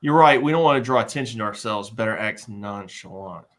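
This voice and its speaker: A man's voice: fairly low pitch, brisk, fairly bright tone, lightly acted. A man playing a jittery, boastful, bumbling would-be warrior in a comic live table read. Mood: smug warning. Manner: blustering, nervous, exaggerated.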